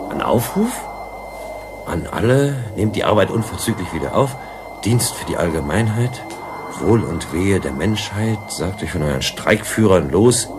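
A man's voice: low-pitched, background noise. An actor playing a suave, probing male visitor who teases and flirts in a German science-fiction radio drama. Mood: teasing, ironic. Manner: teasing, questioning, ironic.

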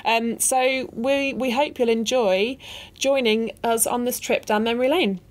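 A woman's voice: a little high, steady tone, a little slow. A woman co-hosting a music podcast, chatting in a playful, teasing way and laughing easily. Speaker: podcast co-host, blogger. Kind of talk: casual podcast chat.

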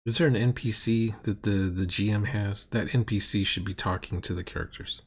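Husky, sardonic middle-aged man. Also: fairly low pitch, steady tone, brisk. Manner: sardonic, critical. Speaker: commentator reviewing a roleplaying game session. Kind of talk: casual commentary.

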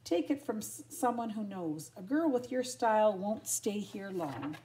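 A sassy female stray from a storybook, played by a woman reading aloud. She is streetwise and kind, talking in a brassy, familiar way with loud emphasis. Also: mid-range voice, animated, a little slow, close to an everyday voice. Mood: reassuring.

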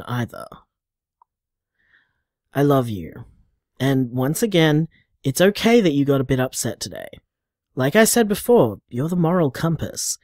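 Audio drama: upbeat, affectionate young boyfriend played by a man, chatty and earnest, lively pitch, close dry sound. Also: dry recording. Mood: tender. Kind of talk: intimate audio drama monologue.

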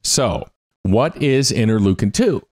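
Middle-aged male host, lively delivery, reading science news in a home studio. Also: on the low side, unhurried. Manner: upbeat, exclaiming. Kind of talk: scripted science news read. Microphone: close studio mic on arm.

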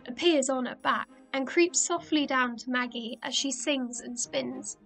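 A woman's voice: sits high, a little slow, gravelly, a bit bright, soft background music. A woman reading scripted narration for a radio play, announcing credits and stage directions.

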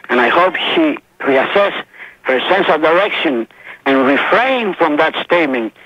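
A man's voice: a little high, vocal fry, fairly bright tone, no echo. A man from Cuba with a Cuban Spanish accent, calling into a radio show to speak formally and earnestly. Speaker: caller. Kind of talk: phone-in call. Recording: muffled phone line on AM radio.

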